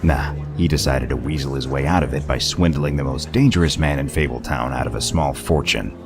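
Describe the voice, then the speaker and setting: A man playing a gruff, sardonic detective in an audio play, with a gravelly voice. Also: very deep voice, a little fast, background noise. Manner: dry, sardonic. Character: gruff, sardonic male detective laying out a case. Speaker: voice actor in an audio drama. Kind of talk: audio play acting, detective explaining a case. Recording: music bed under the voice.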